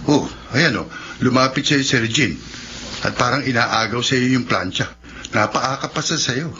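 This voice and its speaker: A man's voice: medium pitch, normal speed, sharp tone, natural voice. An actor playing a gossipy, alarmed male friend with an urgent, insistent delivery in a radio drama. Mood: curious, suspicious. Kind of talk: Filipino radio drama acting. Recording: dull lo-fi radio, noisy background.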